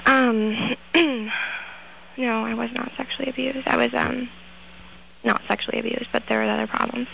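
Hesitant young woman caller, high voice. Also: level tone, moderate pace, vocal fry, pauses often, slight background noise, poor audio. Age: 20s. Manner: hesitant, soft-spoken, many ums.

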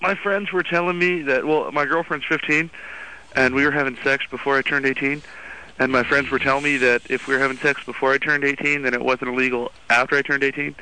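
Young man, radio caller, very bright voice, hesitant, faint line noise. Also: medium pitch, fairly even intonation, fairly quick, low-quality recording. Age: teen, eighteen.

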